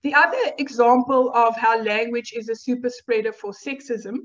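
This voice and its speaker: A woman whose first language is Afrikaans, giving a university lecture in a measured, explanatory way with rhetorical questions. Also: fairly high pitch. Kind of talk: academic lecture over slides. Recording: dull lo-fi online lecture audio.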